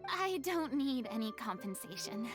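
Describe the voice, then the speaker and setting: A woman's voice: a little high, very animated, moderate pace, music in the background. A woman playing a gracious, theatrical young former stage star in a video game, with formal, grandiose phrasing. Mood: poised, resolved. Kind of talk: video game dialogue acting.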